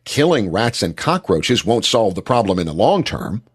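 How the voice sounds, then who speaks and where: Husky man narrating with lively, swooping pitch. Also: normal speed. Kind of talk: scripted explanatory narration.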